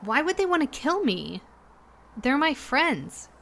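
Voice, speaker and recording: A woman's voice: sits high, big pitch swings, slow, a bit bright, a little hiss or hum, full character voice. An actress in an audio drama playing a weary, distrustful and defiant young woman passenger. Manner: wary, confrontational.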